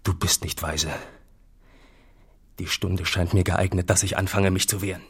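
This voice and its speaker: Embittered farmer, radio drama, husky low voice, suspicious, formal, declamatory, accusing. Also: male voice, steady tone, moderate pace, a bit bright, exaggerated voice. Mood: bitter indignation. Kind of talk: German literary radio drama acting.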